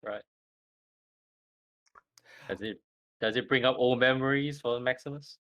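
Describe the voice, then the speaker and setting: A man's voice: medium pitch, unhurried, fairly bright tone, no room sound, poor audio. A man playing in a tabletop game, easygoing and laughing often. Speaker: player. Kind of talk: casual tabletop game chat.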